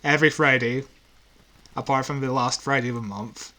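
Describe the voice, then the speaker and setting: A man's voice: mid-range voice, fairly even intonation, moderate pace, pushed and bright, poor audio. A man co-hosting a casual, unscripted podcast, explaining things in a light-hearted, self-deprecating way. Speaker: podcast co-host.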